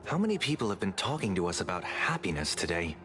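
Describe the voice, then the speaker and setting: Low-voiced, dry, analytical game character. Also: male voice, sing-song, fast. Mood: dry, serious. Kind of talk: video game voice acting. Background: music.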